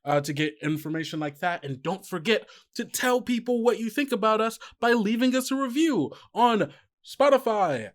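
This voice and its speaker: A man's voice: sits high, animated, normal speed. A man hosting a podcast as game master, chatting casually in a playful, self-deprecating, rambling way.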